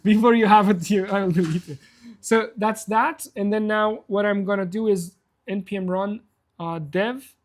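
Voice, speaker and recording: A man's voice: sits high, steady tone, moderate pace. A man whose first language is Persian, hosting a live coding workshop and explaining steps in a casual, self-deprecating way. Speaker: workshop host.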